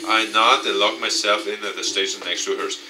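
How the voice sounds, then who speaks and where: A man reading a visual novel aloud and commenting off the cuff, dramatic with excitable asides. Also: lowish voice, a little fast. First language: not English. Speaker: let's play YouTuber. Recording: distant mic, music behind. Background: game music.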